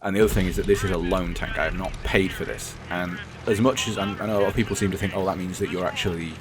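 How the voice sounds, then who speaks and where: A hoarse, croaky man with a very deep voice talks casually over gameplay, rambling and stopping to correct himself. His opinions come out blunt and unfiltered. Gunfire and engine noise sound clearly behind him. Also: steady tone, fast talker. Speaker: gaming commentator.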